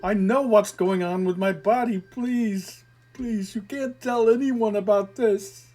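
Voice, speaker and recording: A man's voice: sits high, takes it slowly, darkish tone, voice pushed up. A man reading an audio story, voicing a frightened teenage girl who insists she was abducted and pleads to be believed. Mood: insistent, pleading. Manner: pleading, defensive, swearing in frustration.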